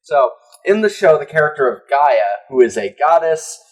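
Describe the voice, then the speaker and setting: A man's voice: on the high side, sing-song, normal speed. A young man co-hosting a podcast, rambling through crude jokes with lots of fillers and laughs. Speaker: podcast co-host. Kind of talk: casual comedic chat.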